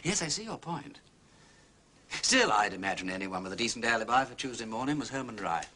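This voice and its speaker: An actor playing a genial, shrewd, aristocratic English gentleman sleuth with an upper-class accent, urbane and probing, in a period detective drama. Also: medium pitch, big pitch swings, fairly quick. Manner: affable, urbane, probing with questions.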